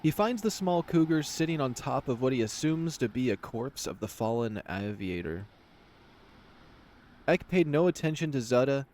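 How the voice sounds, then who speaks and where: A man's voice: mid-range voice, animated, moderate pace, a little mellow, slight background noise. A man reading scripted fantasy story narration as the narrator of a visual novel.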